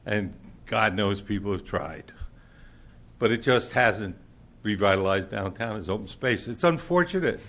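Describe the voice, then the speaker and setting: A man with a loud, booming voice giving a blunt, plainspoken public comment at a council meeting. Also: lively intonation, unhurried. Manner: blunt, plainspoken, with many fillers. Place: council chamber.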